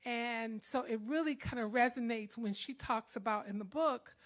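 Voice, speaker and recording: Earnest older woman, slightly high voice. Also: moderate pace. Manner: nervous, earnest, encouraging. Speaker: high school counselor. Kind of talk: personal sharing. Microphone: handheld mic.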